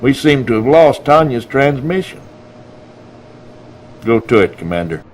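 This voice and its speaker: An actor playing a gruff, blustery middle-aged army general in a video game cutscene, blunt and folksy in manner. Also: male voice, medium pitch, very animated, measured pace, slight background noise. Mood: exasperated.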